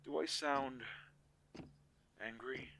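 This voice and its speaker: A man playing a weary, protective magical inventor in an audio drama, speaking earnestly with halting pauses. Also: steady tone, creaky, fairly bright tone. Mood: frustrated, defensive. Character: weary, protective male inventor-mage, creator of living dolls. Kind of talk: scripted audio roleplay drama. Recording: low quality, audible background hiss.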